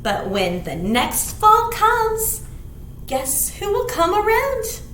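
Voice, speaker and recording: Children's storytime reader: woman, very high voice, slow sing-song delivery with big expressive emphasis, echoey noisy recording. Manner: sing-song, exaggerated, with dramatic gasps and simple explanations for little ones. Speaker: children's storytime presenter at a library. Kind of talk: picture-book read-aloud and rhymes for young children. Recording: roomy echo, clear background noise.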